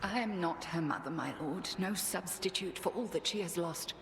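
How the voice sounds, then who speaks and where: An actress playing a solemn, devoted witch guardian in a video game cutscene, speaking formally, reverently and with measured care. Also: on the low side, roomy sound, close to an everyday voice. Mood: humble resolve.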